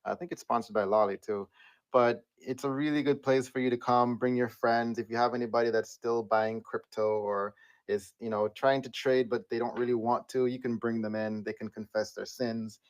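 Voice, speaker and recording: A man moderating a live audio chat room, playful and quick to laugh. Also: mid-range voice, fairly even intonation. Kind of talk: casual chat.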